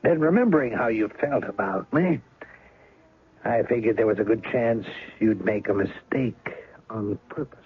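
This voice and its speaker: A man's voice: fairly low pitch, moderate pace, slightly rough. An actor playing a cold, scheming man who speaks in clipped, threatening lines. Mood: menacing. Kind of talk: 1970s radio drama acting. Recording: narrow 1970s radio broadcast.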